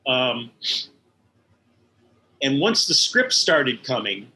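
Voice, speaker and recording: A man's voice: medium pitch, very animated, extremely slow, pushed and bright. A middle-aged or older man, an interview guest recalling his work in animation with emphatic enthusiasm. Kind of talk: conversational recollection.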